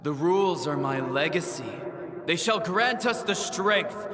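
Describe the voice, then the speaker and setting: Heroic male game leader: high-pitched, solemn declamation, echoing recording. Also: animated, measured pace, sharp tone. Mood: solemn, resolute. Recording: echoey, music over voice.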